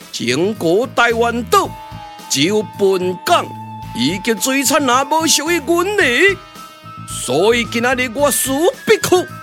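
A man's voice: extremely high pitch, fairly bright tone, heavily acted. A man with a Taiwanese accent voicing a boastful, domineering Dutch governor in a theatrical puppet radio drama. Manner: grandiose, theatrical declaiming.

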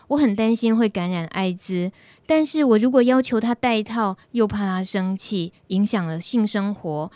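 A woman's voice: medium pitch, darkish tone, a little hiss or hum, close to an everyday voice. A woman voicing a worried, timid young girlfriend in a radio drama reading of a listener's question. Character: worried young girlfriend afraid of angering her boyfriend.

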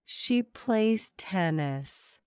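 Very slow, crisp woman reading sentences. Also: mid-range voice, expressive, a little mellow, no echo, studio quality. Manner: careful, evenly paced repetition. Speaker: English sentence reader. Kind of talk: slow, clear example-sentence reading for learners.

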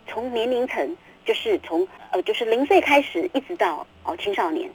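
A middle-aged woman with a Taiwanese Mandarin accent talks as an interview guest. Her voice is high and a little husky, and it swings widely as she speaks. She is emphatic and often doubles her words. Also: lively intonation, normal speed, poor audio. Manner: emphatic, repeats words and phrases, acts out readers' questions. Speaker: guest, children's book author. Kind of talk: radio interview, animated explaining.

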